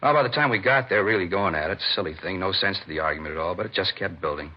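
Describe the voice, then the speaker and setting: A man's voice: low-pitched, quick pace, slightly rough. An American man playing a casual, grumbling man-about-town suspect in a 1950s radio drama, talking in breezy, clipped phrases. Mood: griping. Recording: muffled vintage radio recording.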